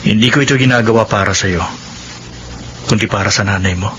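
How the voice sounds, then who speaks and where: A man in a Filipino radio drama playing a brusque but kindly doctor with a brisk, matter-of-fact manner. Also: lowish voice, noisy background, lightly acted. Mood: firm, kind. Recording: dull lo-fi sound, music behind.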